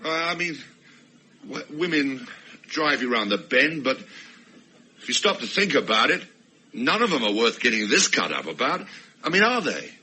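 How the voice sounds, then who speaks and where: A man's voice: mid-range voice, sing-song, a little slow, pauses often, sharp tone, slightly rough, exaggerated voice. An actor playing a flustered, self-absorbed man in a radio play, stammering and hesitant as a reluctant rescuer. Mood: pleading, awkward. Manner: stammering, hesitant, nervously pleading. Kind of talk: radio play acting with inner narration.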